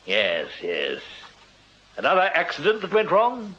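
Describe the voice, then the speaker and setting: Lively, blustery upper-class Victorian major. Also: male voice, on the high side, unhurried, exaggerated voice. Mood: breezy, clipped. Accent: upper-class English. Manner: clipped sentences, blustery. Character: breezy, clipped Victorian army major, amateur sleuth. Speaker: actor. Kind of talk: British radio drama acting. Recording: dull radio drama sound with noise.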